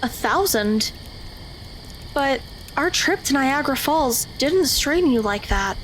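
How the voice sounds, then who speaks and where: High voice, teenage girl, inquisitive, anxious, audio drama, faint ambient noise. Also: expressive, slow, a bit bright, full character voice, poor audio. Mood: curious. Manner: questioning, stammers when startled.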